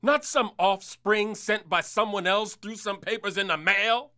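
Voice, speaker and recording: Tormented, devout husband for audio drama, earnest and pleading, with a sharp forceful edge. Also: male voice, high-pitched, unhurried, exaggerated voice. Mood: defensive, pleading. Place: small recording studio. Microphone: studio mic on boom.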